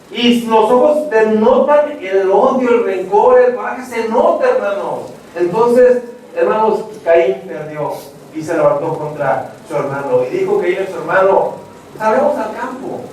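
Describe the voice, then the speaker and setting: A man's voice: high-pitched, darkish tone, slight background noise. A man preaching an evangelistic sermon, telling stories dramatically and acting out voices. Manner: dramatic storytelling, acts out voices, addresses "hermanos". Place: church. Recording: echoey church hall, mic at a distance.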